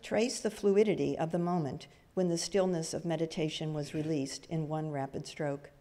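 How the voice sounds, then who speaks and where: An older woman reciting a reading at a lectern in a church hall, with careful, deliberate phrasing. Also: low-pitched, steady tone, warm tone. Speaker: reader at a church service. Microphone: gooseneck lectern microphone. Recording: long hall reverb.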